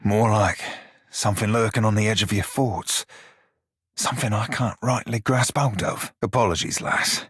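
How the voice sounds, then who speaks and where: A man playing a roguish, good-hearted creature in a video game, talking in salty dialect with a rough, husky voice. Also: fairly low pitch, normal speed, fairly bright tone, no room sound, studio quality. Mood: uneasy, sincere. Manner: salty pirate dialect, colloquial. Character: roguish blue-furred psychic pirate, flirty, salty, good-hearted. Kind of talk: video game character dialogue.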